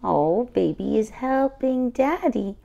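A woman's voice: on the high side, lively intonation, slow pace, creaky, warm tone. A woman host reading a picture book aloud for young children, expressively, with exclamations and questions to the listener.

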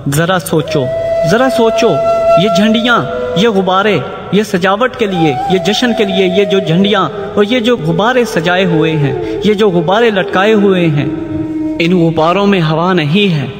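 A man's voice: fairly high pitch, natural voice. A man playing an impassioned asylum escapee in a radio satire, declaiming and pleading against corrupt politics. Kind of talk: radio drama satirical oratory. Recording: echoey hall reverb, loud music behind.

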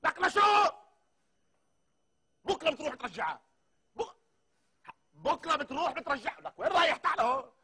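A man's voice: very high-pitched, big pitch swings, fairly quick, long pauses, sharp tone, exaggerated voice. A man playing an argumentative, hot-tempered barber in a comedy sketch, speaking with a Syrian accent and repeating himself. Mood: angry, exasperated. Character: hot-tempered, chatty male barber ranting into his phone.

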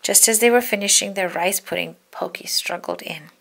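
A woman reads a story aloud for children. Her mid-pitched voice has plenty of creak in it. She tells it expressively and deliberately, leaning on key words. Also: a bit bright. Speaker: storybook read-aloud narrator. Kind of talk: scripted children's story narration.

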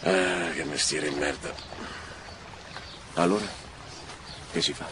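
An actor playing a wry, cautious, grumbling police marshal, sidekick to a commissioner in a radio drama. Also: male voice, very deep voice, fairly even intonation, slow pace. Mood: grumbling, worried. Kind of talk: expressive radio drama acting. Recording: noisy radio drama, street ambience.

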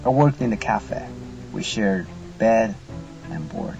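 A man plays a haunted old grandfather in an audiobook drama. His voice is low and creaky, reflective and wistful. Music plays behind the slightly muffled recording. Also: lively intonation, takes it slowly, recorded from a distance, exaggerated voice. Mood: reminiscing.

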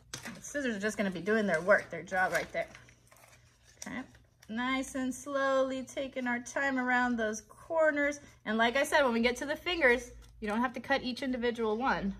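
A woman's voice: on the high side, a bit bright. An American woman in her thirties hosting a live craft tutorial for children, chatty and encouraging as she talks directly to them. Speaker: children's craft host and puppeteer. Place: child's bedroom set indoors.

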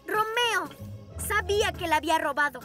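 A woman's voice: very high-pitched, animated, normal speed. A woman voicing an earnest, impulsive young girl superhero in a children's cartoon, eager and emphatic. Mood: indignant, alarmed. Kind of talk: animated children's cartoon dub. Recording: loud music behind the voice.

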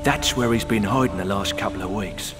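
A man's voice: fairly low pitch, a little fast, natural voice. A man playing a gossipy, streetwise prison inmate in a video game, telling grisly stories and testy about interruptions. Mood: conspiratorial. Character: gossipy, irritable male prison inmate spinning grisly tales. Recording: long echoing reverb, faint music.

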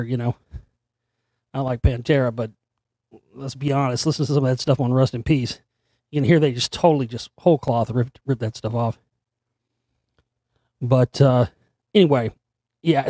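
A middle-aged man hosting his own show, his voice hoarse and still recovering, rambling casually with fillers and the odd curse word. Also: mid-range voice, dark tone, no echo, studio quality. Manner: rambling, conversational, frequent fillers and mild swearing. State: hoarse, voice recovering after straining it. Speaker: radio show host. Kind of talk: casual solo talk show monologue.

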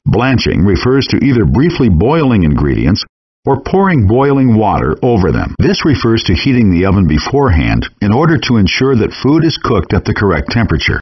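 A man narrates cooking instructions in a calm, measured, instructive manner, his fairly low voice moving with a lively lilt. There is a slight huskiness to his tone, and the game audio sounds dull and lo-fi. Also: breathy edge. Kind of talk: scripted instructional voice-over.

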